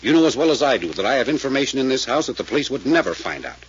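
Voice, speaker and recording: Fast-talking tough radio detective. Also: male voice, medium pitch, sing-song, husky. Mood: defiant, insistent. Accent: American. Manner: clipped, authoritative. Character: tough, relentless private detective, commanding and insistent. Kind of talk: old-time radio mystery acting. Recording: dull vintage radio recording.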